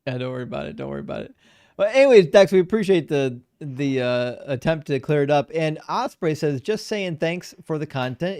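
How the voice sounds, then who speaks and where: A man hosting a live debate stream, reading out viewer messages in a relaxed, chatty way and laughing often. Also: on the high side, no echo, studio quality. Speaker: debate show host. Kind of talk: casual live-stream chat, reading super chats.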